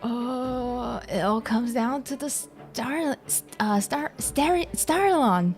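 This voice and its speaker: A woman's voice: on the high side, extremely slow, a little mellow. A woman gives casual live commentary on a game. She takes her time, hesitating and thinking aloud in a giggly way. Game music plays faintly behind her. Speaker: game streamer. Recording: game music under stream voice.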